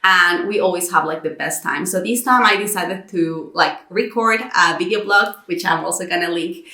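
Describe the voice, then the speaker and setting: Bright-voiced young woman in an echoey room. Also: lowish voice, moderate pace. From Mexico. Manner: animated retelling with quoted inner dialogue. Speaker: YouTube content creator. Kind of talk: personal storytime vlog to camera. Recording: roomy echo.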